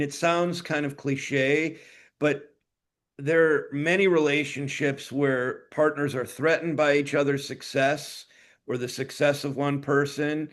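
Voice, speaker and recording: A man hosting an interview, asking long thoughtful questions with hesitations and fillers. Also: fairly high pitch. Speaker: interview host.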